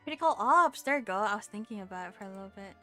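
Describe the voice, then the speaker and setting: Casual young woman streamer over soft music. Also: mid-range voice, expressive, rapid-fire. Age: young adult. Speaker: game streamer. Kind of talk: casual live stream chat.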